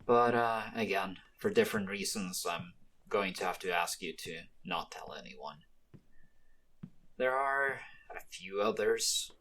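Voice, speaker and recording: A man playing a guarded, weary, quietly kind young mafia henchman in an ASMR roleplay, speaking low-key and hesitantly to the listener. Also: lively intonation, a little slow, full character voice. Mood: somber, confiding.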